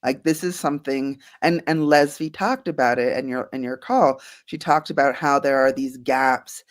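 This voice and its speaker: A woman, a guest scientist, answering interview questions earnestly and thoughtfully, with frequent soft laughs. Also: a bass voice, moderate pace. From Bahamas.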